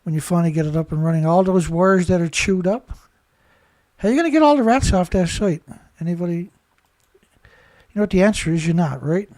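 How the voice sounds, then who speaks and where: A stuffed-up man runs his own news commentary, talking in an indignant, rambling way and firing off rhetorical questions. His voice sits fairly high for a man. The sound is close and dry, with no room around it. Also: a little fast, a little mellow, no echo. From Canada. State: stuffed-up nose. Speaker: host.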